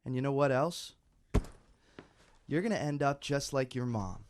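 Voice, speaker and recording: A man's voice: medium pitch, expressive, a little slow, a little mellow, slight background noise, natural voice. A young man acting in a naturalistic radio drama as a worried, exasperated friend, urgent and earnest. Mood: exasperated, pleading.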